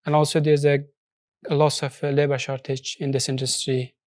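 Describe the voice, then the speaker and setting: A man from Afghanistan, Persian his first language, talks through his story in an earnest, halting English that often stops and restarts. His voice sits at an ordinary middle pitch, and the studio sound is dry and close. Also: little pitch movement, darkish tone, dry recording. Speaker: social enterprise founder. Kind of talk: studio interview.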